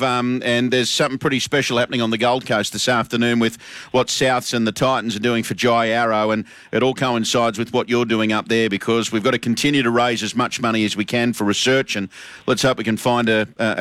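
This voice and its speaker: A man's voice: medium pitch, a bit bright, no echo. A man with an Australian accent hosting a radio show, chatting casually and cheering on guests. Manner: upbeat, matey banter. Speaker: radio host.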